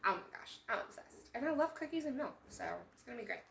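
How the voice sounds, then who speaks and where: A woman's voice: high-pitched, lively intonation, fast talker, fairly bright tone, slight background noise. A woman hosting a children's storytime as a librarian, enthusiastic, reading a picture book and putting questions to young listeners. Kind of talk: animated picture-book read-aloud for children. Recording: echoey room sound.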